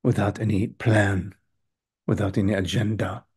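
A man leading a guided meditation as a teacher, speaking gently and hesitantly in short phrases with long pauses between them. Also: lowish voice, little pitch movement, breathy edge. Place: live online group session.

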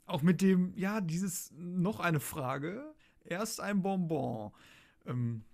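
A man's voice: high-pitched, lively intonation, very slow, vocal fry, darkish tone. A man on a podcast panel, chatting casually in a loose, jovial way. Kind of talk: casual chat.

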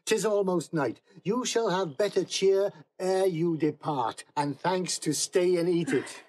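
A man's voice: high voice, a little slow, darkish tone. An actor playing a hospitable, fatherly older huntsman, hearty and courteous, in Shakespearean style. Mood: welcoming. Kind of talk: theatrical Shakespearean acting.

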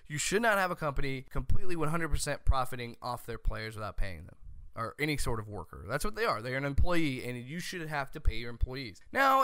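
An American man in his thirties giving loud, emphatic, opinionated sports commentary as a show host, close on a studio mic. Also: medium pitch, smooth, no echo. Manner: loud, emphatic, exasperated ranting. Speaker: host of a college football show. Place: small room at home. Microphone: close studio mic on a boom arm.

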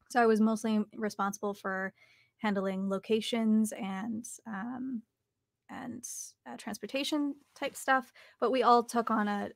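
A woman's voice: medium pitch, gravelly, dry recording. A young adult woman answering questions in a conversational interview, recorded close up at home. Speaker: actor and voice artist being interviewed. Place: home recording studio, indoors.

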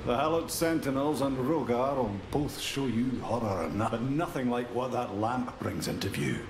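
A man's voice: mid-range voice, moderate pace, close to an everyday voice. Guy acting as weary grim fantasy game npc man, solemn old-timey wording, echoey n noisy. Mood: grim, foreboding. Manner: solemn, fatalistic, archaic phrasing. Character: grim weary male NPC tending vestiges in dark fantasy. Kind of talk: video game character dialogue. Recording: echoing game audio with background noise.

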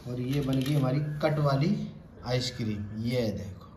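A man's voice: medium pitch, unhurried, darkish tone. Chatty man in an echoey kitchen. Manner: chatty, addresses viewers as friends. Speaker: cooking vlogger. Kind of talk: casual home cooking demo. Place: tiled home kitchen. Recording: roomy tiled kitchen, faint background noise.

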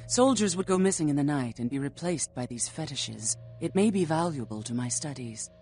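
A woman's voice: low-pitched, animated, fairly quick, a little mellow, soft background music. A woman playing a morbid, scholarly vampire in a video game, speaking in formal, solemn, archaic phrases with cold philosophy. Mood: measured, scholarly. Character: morbid scholarly female vampire flesh-eater, coldly philosophical. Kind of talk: scripted video game dialogue.

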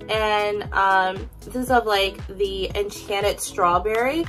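A woman's voice: on the high side, sharp tone. A young woman in her twenties talking casually through a shopping haul at home, upbeat and chatty with plenty of ums and likes. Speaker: vlogger. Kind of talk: casual product haul chat. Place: indoors in a home room.

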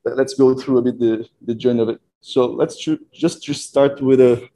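A man's voice: mid-range voice, steady tone, moderate pace, dark tone, a little hiss or hum. A young man from Brazil with a Brazilian accent touched by French, giving an online tech talk with frequent restarts and fillers. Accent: Brazilian Portuguese with some French. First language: Portuguese. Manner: hesitant, many restarts and fillers. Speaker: data scientist giving a talk.

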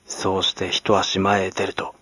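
A man from Kagoshima, Japan, narrating classic literature as a dramatic audiobook in a grave, measured manner. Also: deep voice, monotone, a bit bright, slightly rough, no room sound. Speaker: actor, narrator. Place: at home, close and dry.

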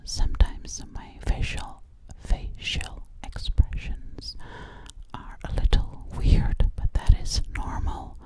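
A young woman playing a gentle, curious alien girl in an ASMR roleplay, whispering breathily very close to the mic and softly repeating her phrases. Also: close to an everyday voice. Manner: slow, soothing, repeats words and phrases. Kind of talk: ASMR personal-attention roleplay. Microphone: very close to a large microphone.

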